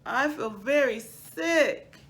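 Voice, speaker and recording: A woman's voice: high voice, lively intonation, slow, a bit bright, pitched above the performer's own voice, full character voice. A woman voicing a frail, sick little sister tree in a children's storybook, in a plaintive manner. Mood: sickly, sad.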